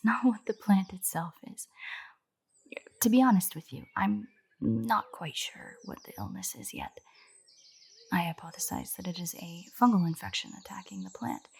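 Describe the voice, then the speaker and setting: A woman's voice: fairly low pitch, gravelly, darkish tone, husky, exaggerated voice. A woman playing an earnest, nerdy royal botanist in a fantasy audio roleplay, speaking in a precise, formal, lecturing way directly to the listener. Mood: flustered, apologetic. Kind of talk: roleplay audio drama, scripted monologue to the listener. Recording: birdsong behind the voice. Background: birdsong, garden ambience.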